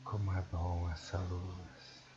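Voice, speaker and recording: A man with a Brazilian accent leads a guided sleep meditation in a soothing, hushed manner with long pauses. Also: low voice, little pitch movement, muffled recording, heavy background noise. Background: prominent meditation music.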